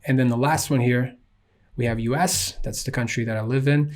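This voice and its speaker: A man from Ukraine explaining software step by step at a desk microphone, casual, with frequent fillers. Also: medium pitch, fairly even intonation, a little fast. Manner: casual, walks through steps with frequent fillers. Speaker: software tutorial instructor. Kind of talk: screen-recorded software tutorial.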